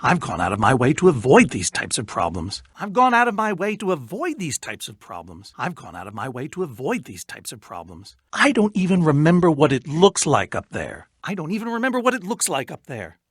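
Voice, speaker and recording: Game role: eccentric reclusive adventurer, wistful and fussy, theatrical wordy delivery with lively pitch swings, very dry close recording. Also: male voice, a little fast, hardly any pauses, husky, no room sound, heavily acted. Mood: reluctant, guarded. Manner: theatrical, wordy, dryly self-pitying. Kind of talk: animated video game character acting.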